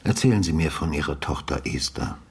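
Very deep, husky retired detective. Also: male voice, expressive, heavily acted. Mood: calm probing. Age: older. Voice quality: very husky, breathy bass. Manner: measured, polite, methodical questioning. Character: calm, courteous, probing retired male police detective. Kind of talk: radio drama acting.

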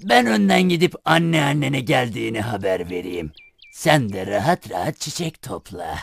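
Cunning fairy tale wolf, gravelly, animated pitch, coaxing, faking granny sweetness. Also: female voice, exaggerated voice. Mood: sly, friendly. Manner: coaxing, slow, drawn-out delivery. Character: sly wolf posing as a sweet, sick grandmother. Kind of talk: children's fairy tale cartoon acting.

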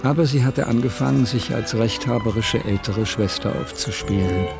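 A man narrating a literary radio drama in a measured, scripted storytelling style. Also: medium pitch, vocal fry, lightly acted. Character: measured male narrator of a 1930s Berlin story. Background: music.

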